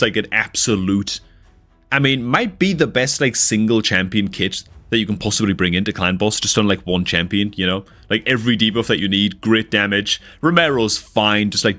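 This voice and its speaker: A man's voice: lowish voice, fairly bright tone. A young man from northeast England, near Newcastle, with a somewhat mixed northeast English accent, chatting loosely with his stream chat and using lots of fillers. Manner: rambling, filler-heavy, talks to chat. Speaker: gaming streamer. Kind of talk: casual livestream chat.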